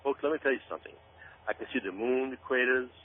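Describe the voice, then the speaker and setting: A man's voice comes over a narrow, phone-like line with a hum. He delivers informal commentary at an ordinary male pitch, emphatic and folksy, addressing his listeners directly. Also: fast. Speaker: commentator giving his opinion.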